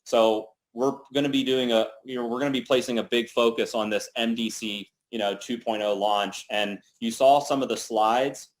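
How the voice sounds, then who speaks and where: A man's voice: medium pitch, steady tone, moderate pace, hardly any pauses. A man hosting a company team call, talking casually and upbeat, with lots of "you know" and "like".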